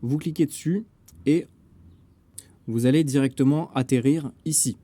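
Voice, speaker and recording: Dark-voiced man giving a casual tutorial. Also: mid-range voice, a little slow, no echo. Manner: hesitant, step-by-step explaining. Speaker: radio network administrator and tutorial presenter. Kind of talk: casual spoken software tutorial.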